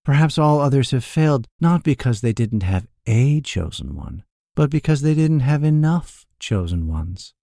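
A man's voice: medium pitch, lively intonation, normal speed, vocal fry, warm tone, no room sound, pitched above the performer's own voice. A man voicing a sly, sour, world-weary hireling guide with a dry, falsely deferential manner. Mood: placating.

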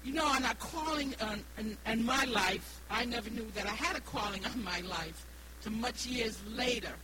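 A woman in her sixties with a New England accent preaches haltingly, repeating phrases and stressing key words. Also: fairly high pitch. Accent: New England American. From Rhode Island, United States. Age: 60s. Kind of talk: evangelical sermon with personal testimony. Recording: noisy live recording with hum.

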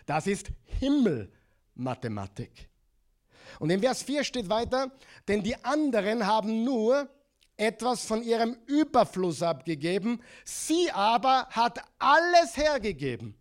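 A middle-aged Austrian man preaching a sermon, lively, telling stories and having the congregation repeat words after him. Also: sits high, a little slow. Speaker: pastor.

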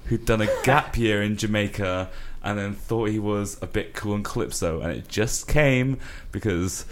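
A man's voice: fairly low pitch, moderate pace. Dry, teasing man. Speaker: comedy podcast co-host. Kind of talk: casual banter.

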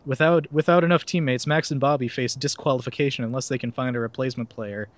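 A man's voice: mid-range voice, steady tone, fast, dry recording. A young man reads a film recap aloud for a casual podcast. His tone is wry and loose, and he keeps breaking into laughter and dry remarks about the plot. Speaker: podcast host reading a film synopsis. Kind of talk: casual comedic podcast chat.

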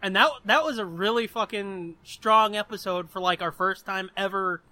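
High-pitched, bright-voiced sweary man. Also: moderate pace, no echo, low-quality recording. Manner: sweary, joking, blunt. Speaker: podcast co-host. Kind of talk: casual banter, answering listener questions.

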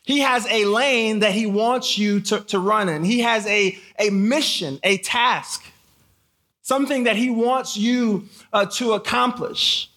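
A man's voice: high voice, a little slow, bright, forceful tone. An American man preaching conversationally to a live audience of teens, casually repeating phrases and putting questions to his listeners. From born in Haiti, grew up in Michigan. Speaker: guest camp speaker, preacher.

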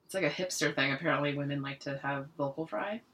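A woman co-hosting a podcast in casual chat, with a low voice with vocal fry, teasing and quick to jump in. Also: a bit bright, a little hiss or hum. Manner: bossy, teasing, quick to interject. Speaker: podcast co-host.

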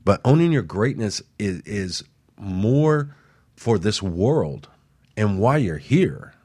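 Man, very deep husky voice, lively swings in pitch, emphatic motivational host, dry close sound. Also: slow pace, no room sound. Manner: preachy, emphatic, repeats phrases. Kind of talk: motivational radio talk.

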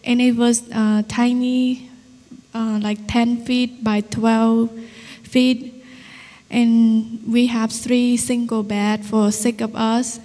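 Woman, slow halting english, mellow voice, hall reverb. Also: a little high, little pitch movement. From Cambodia. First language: Vietnamese. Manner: halting, simple phrasing. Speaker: guest. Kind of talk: testimony interview.

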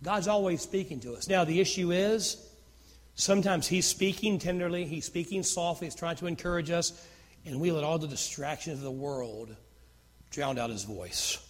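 Loud, high-voiced preacher man in a reverberant hall. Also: normal speed. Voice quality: naturally loud voice. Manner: swings from loud fervor to hushed near-whispers. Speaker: pastor. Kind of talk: sermon.

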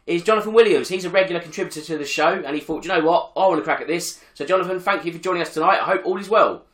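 A man hosting a football podcast, hoarse from a chest infection, talking in an upbeat, chatty way. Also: fairly high pitch, rapid-fire, a bit bright, reverberant. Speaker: podcast host. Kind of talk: casual football podcast chat.

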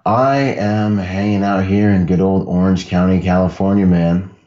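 A laid-back man from the Bahamas talks with a very deep voice, telling loose stories as a podcast guest. He laughs easily and keeps it casual. The audio is dull and lo-fi. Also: flat delivery, moderate pace, mellow. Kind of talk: casual conversational storytelling.

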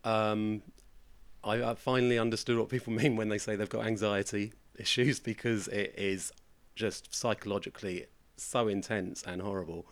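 Male podcast host, hoarse and gravelly, dry humor. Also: on the low side, fairly even intonation, moderate pace. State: croaky, hoarse voice. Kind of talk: casual horror podcast chat.

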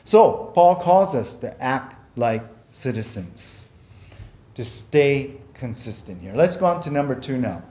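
A man's voice: mid-range voice, lively intonation, unhurried, warm tone. A man preaching a sermon as pastor, earnest and conversational, often repeating words and using fillers, whose first language was Japanese.

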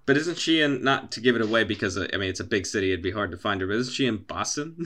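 A young man with a fairly low but bright, forceful voice chats casually as a podcast co-host. He rambles through his stories with plenty of fillers and swearing. He slips into little voiced quotes as he goes. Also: expressive, fast talker. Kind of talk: casual chat.

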